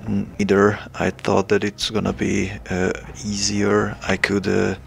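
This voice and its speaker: A young man from Hungary with a Hungarian accent, making a hesitant, casual announcement to his channel's viewers, pausing often with fillers. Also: fairly low pitch, monotone, vocal fry. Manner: hesitant, many filler pauses. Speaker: channel creator.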